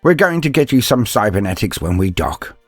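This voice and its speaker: A man playing a gruff, blunt older male inquisitor and worldly mentor in an audio story, hemming and teasing in a fatherly way. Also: lowish voice, big pitch swings, fairly quick, dry recording, clean studio sound, soft background music. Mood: awkward, teasing. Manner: hesitant euphemisms, throat-clearing, teasing bluntness.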